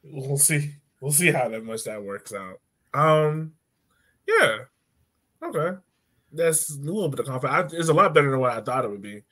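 A man's voice: on the high side, lively intonation, normal speed, sharp tone. A man in his thirties hosting a sports podcast from home in a casual, joking style. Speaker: podcast host. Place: room at home, indoors.

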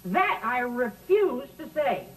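A man playing an awkward, earnest teenage schoolboy with a squeaky adolescent voice in a 1950s sitcom. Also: very animated, slow pace, a bit bright, exaggerated voice. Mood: earnest, sheepish. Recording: old, distant television soundtrack.

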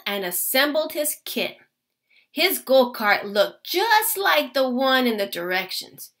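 A woman teacher reading a children's picture book aloud to her class. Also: on the high side. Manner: slow, expressive storytelling.

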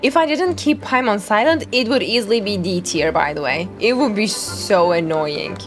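A woman in her twenties giving casual, expressive reaction commentary as a game streamer. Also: mid-range voice, normal speed. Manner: excitable, expressive. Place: small furnished bedroom, indoors. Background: game music.

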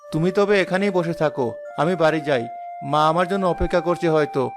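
High-voiced kind village boy played by a man. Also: fast talker, music in the background, close to an everyday voice. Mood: friendly, calm. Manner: gentle, reassuring. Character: kind, patient, helpful young village fisher boy. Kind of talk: animated cartoon dialogue.

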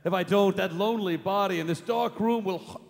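Pleading, frightened old miser, acted by a man: high, creaky, radio play in a reverberant hall. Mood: fearful. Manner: anxious, halting, imploring. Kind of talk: staged radio drama reading. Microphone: stage mic on stand.

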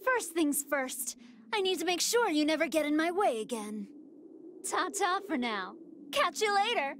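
A woman's voice: high voice, expressive, measured pace, fairly bright tone, slight background noise. A woman voicing a smug, sadistic female android villain in an anime game, mocking and taunting. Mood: bored, taunting.

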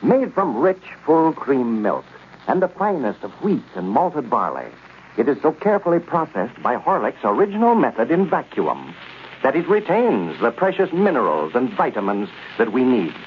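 A man's voice: medium pitch, wildly swooping pitch, normal speed. A man reading scripted copy as an announcer in the style of 1930s radio. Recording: tinny, noisy 1930s radio recording.

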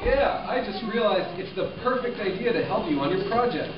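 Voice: mid-range voice, expressive, brisk, noisy background, lightly acted. A confident, encouraging scorpionfish played by a puppeteer explains things in a mid-range voice. It is a puppet show recorded from a distance in an echoey room. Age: young adult. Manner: explanatory, assured. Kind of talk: children's educational puppet show acting. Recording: echoey room, distant mic, muffled sound.

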